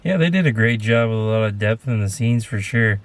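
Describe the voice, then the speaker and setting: A man's voice: lowish voice, animated, fairly quick. A man in a casual film review chat, agreeable and sometimes searching for his words.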